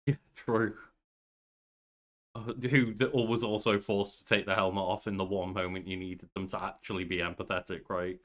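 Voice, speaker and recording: A man's voice: deep voice, little pitch movement, normal speed, a bit bright. A young man co-hosting a podcast in casual chat, with banter and some swearing. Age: young adult. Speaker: podcast co-host. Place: small bedroom at home.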